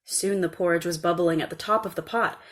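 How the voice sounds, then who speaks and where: A woman storyteller reading a scripted children's tale in a measured, expressive way. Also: lowish voice.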